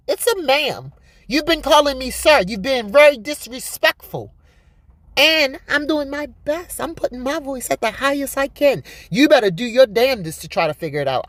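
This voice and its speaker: A woman with a deep voice giving blunt, profane, rambling commentary on a news story, laughing often. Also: no echo. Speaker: vlogger.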